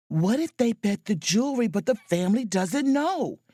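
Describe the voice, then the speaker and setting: Actress as proud woman sleuth, sharp-witted, flirtatious, theatrical, animated pitch, dry studio sound, sci-fi audio drama. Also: a little slow, husky, dry recording. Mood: scheming, excited. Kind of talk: audio drama acting with first-person narration.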